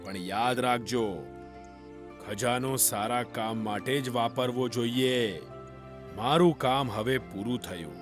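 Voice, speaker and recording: A man's voice: medium pitch, takes it slowly, music in the background, lightly acted. A man voicing an ancient, stern jinn who guards a treasure in a children's cartoon story, commanding and full of warning. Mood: solemn, approving.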